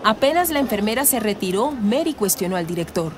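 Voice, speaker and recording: A woman's voice: mid-range voice, very animated, fairly quick, a little hiss or hum. A woman with a Colombian accent reading scripted narration for a radio drama.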